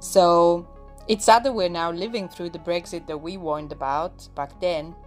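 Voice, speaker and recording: Lower-voiced woman from Bulgaria giving a sincere, upbeat campaign appeal. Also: fairly even intonation, a little slow, faint music behind. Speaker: campaign member. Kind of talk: recorded appeal.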